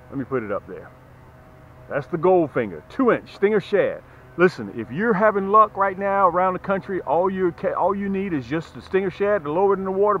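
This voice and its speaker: A man hosting a fishing channel, giving a casual, folksy sales pitch to camera and repeating phrases for emphasis. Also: highs cut off, a little hiss or hum. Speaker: fishing channel host and tackle seller.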